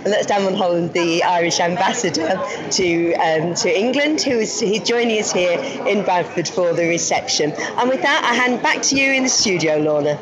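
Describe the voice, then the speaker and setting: Presenter, friendly chat, mid pitch, crowded room noise. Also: normal speed, few pauses, a loud crowd. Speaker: radio presenter. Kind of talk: live outside broadcast interview. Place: busy reception event.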